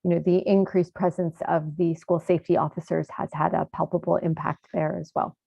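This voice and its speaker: Flat, creaky woman on a muffled line. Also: on the low side, no room sound. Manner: measured and formal. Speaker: council member. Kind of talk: meeting report.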